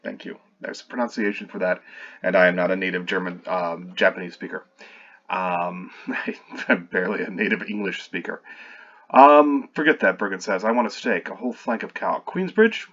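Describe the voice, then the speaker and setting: A man's voice: low-pitched, moderate pace, a bit bright, muffled recording. A man reading his own screenplay aloud while revising it, stopping often to correct himself and add wry asides.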